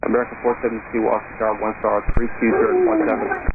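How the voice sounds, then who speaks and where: Tinny radio, fast-talking male air traffic controller. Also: fairly low pitch, completely flat delivery. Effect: radio transmission. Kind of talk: clipped radio instructions. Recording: narrow tinny radio link, noisy.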